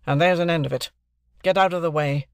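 A man's voice: a little high, fairly even intonation, a little fast, creaky, clean tone, no room sound, voice pushed up. A man voicing a stern, domineering middle-aged lawyer in an audiobook, blustering and imperious. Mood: curt, dismissive.

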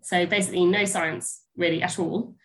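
Snuffly woman, low and hesitant. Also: fairly even intonation, normal speed, fairly bright tone. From England, near Bristol. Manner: hesitant, many ums and restarts. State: snuffly from a cold. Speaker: guest, pasture science lecturer. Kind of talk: casual interview chat.

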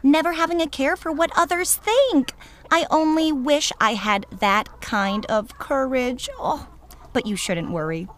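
A woman's voice: high-pitched, a little slow, fairly bright tone, a little hiss or hum. A woman playing a bossy, catty Puritan village wife in a comic audio drama, fussy and falsely sweet.

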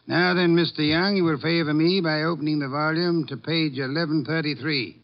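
An actor playing a pompous, imperious detective boss with precise, ornate speech in a 1950s radio drama. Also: male voice, a little high, fairly even intonation, normal speed, natural voice. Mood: stern, commanding. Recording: dull lo-fi 1950s radio recording.

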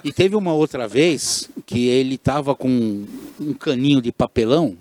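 A man's voice: medium pitch, normal speed, darkish tone, slight background noise. A man, a radio show regular, telling anecdotes in an easygoing chat and repeating his phrases. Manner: chatty, laughs often, repeats phrases. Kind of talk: casual conversation, storytelling.

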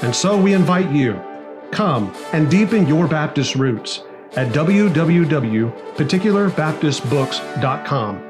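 A man's voice: on the high side, big pitch swings, measured pace. A man reading a scripted promotional announcement for a nonprofit publisher. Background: music under the voice.

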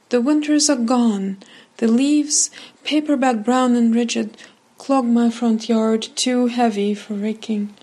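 A woman reciting a poem deliberately, in short separated phrases. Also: on the high side, mellow. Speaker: poetry reader.

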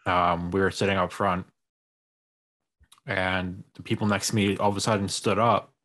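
A man's voice: sits low, little pitch movement, normal speed, fairly bright tone, a little hiss or hum. A man in a casual conversation, easygoing and laid-back as he tells a story. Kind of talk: casual chat.

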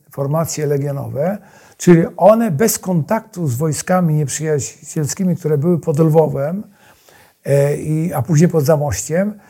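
A man's voice: fairly high pitch, warm tone, breathy edge. A man explaining history as a guest historian, telling it in a narrative way and repeating phrases for emphasis.

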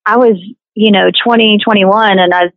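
A woman in her thirties as a podcast guest, talking hesitantly with many pauses and fillers. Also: medium pitch, steady tone, dry recording, narrow, tinny audio. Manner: hesitant, many ums and uhs. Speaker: guest, singer and actress. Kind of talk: casual interview chat.

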